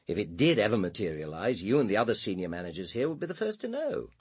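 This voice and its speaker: A patronising British director, with a very husky, breathy voice. His pitch swings in lively exasperation as he dismisses and corrects. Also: fast, no room sound. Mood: condescending, weary. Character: exasperated, condescending male director, cool and self-important. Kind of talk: radio drama acting.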